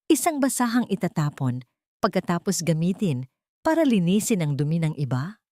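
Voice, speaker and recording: Low-voiced woman narrating a drama. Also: a little mellow, no echo, studio quality. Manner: measured, dramatic storytelling. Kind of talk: scripted audiobook narration.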